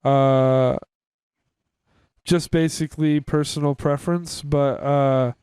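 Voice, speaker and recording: A man's voice: a little high, fairly even intonation, takes it slowly, warm tone, clear, resonant tone, no room sound, clean studio sound. A man chatting casually as a podcast co-host, steering the discussion decisively. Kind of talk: casual chat.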